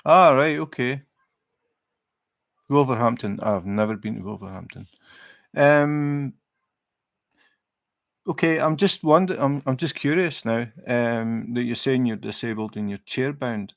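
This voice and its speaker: A middle-aged Scottish man chatting casually on a livestream while he crafts, relaxed and rambling, with many fillers and restarts. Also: medium pitch, measured pace, creaky, pauses often, dry recording. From Scotland. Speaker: livestream host. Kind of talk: casual chat while crafting, reading viewer comments.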